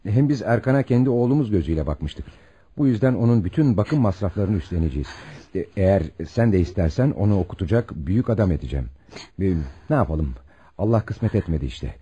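A man's voice: lowish voice, expressive, fast talker, dark tone, breathy edge, dull audio. An actor playing a protective, kindly family man, firm and reassuring, in a radio drama. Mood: warm, insistent.

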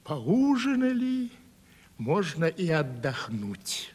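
Nosy servant in old radio play, teasing n folksy, very lively pitch, long reverb. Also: male voice, slow pace, slightly rough, heavily acted. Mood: sly, teasing. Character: sly, gossipy, truth-telling male manservant, needling and folksy. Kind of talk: 1970s Russian radio play acting.

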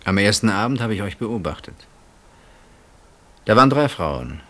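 A male actor playing a middle-aged husband in a radio play, wryly reflective and brooding, speaking with hesitant pauses and deliberate phrasing. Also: on the low side, sing-song, a bit bright. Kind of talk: radio play acting, intimate dialogue.

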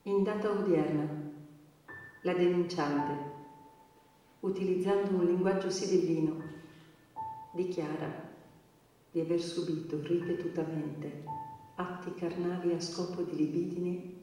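A detached male bureaucrat reads out a legal statement in stiff, officious radio-drama style. The voice is high and monotone, ringing from afar in a hugely echoing space with clear music behind. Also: unhurried, long pauses. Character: cold bureaucratic male official reading a police deposition. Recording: very echoey distant hall, music behind.

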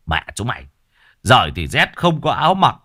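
A man's voice: medium pitch, sing-song, normal speed, pushed and bright. A man voicing a crazed, foul-mouthed young man who rants and curses. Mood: ranting. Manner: ranting, cursing.

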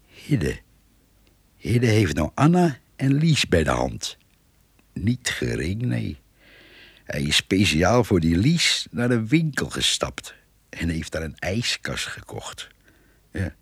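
An actor playing a grumbling, chatty older countryman in a radio play, gravelly, muttering and folksy. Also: sits low, big pitch swings, slow, long pauses, no echo, heavily acted. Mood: musing. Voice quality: gravelly, husky. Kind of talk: Dutch radio play acting.

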